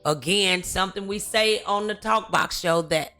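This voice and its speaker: A woman hosting a talk show, speaking in a halting, emphatic and encouraging motivational way. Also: mid-range voice, pushed and bright, soft background music.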